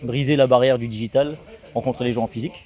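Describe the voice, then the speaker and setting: A man's voice: medium pitch, fairly even intonation, fast, dark tone, dull audio. A man in his thirties from Alsace giving a talkative, casual interview, peppered with business jargon. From Alsace, France. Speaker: sales agency co-founder being interviewed.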